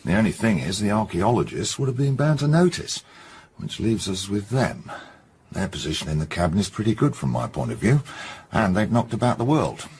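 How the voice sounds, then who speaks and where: An actor playing a gruff, no-nonsense middle-aged English chief inspector in a radio detective drama, blunt and colloquial. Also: male voice, lowish voice, big pitch swings, a little mellow, slight background noise. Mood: reasoning. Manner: blunt, colloquial, brisk summing-up.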